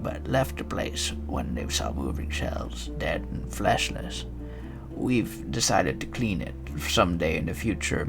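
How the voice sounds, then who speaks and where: A man's voice: low voice, creaky, voice pushed down, exaggerated voice. A man voicing an elderly, matter-of-fact village woman with folksy, rustic phrasing in a fantasy game reading. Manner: folksy phrasing with tag endings.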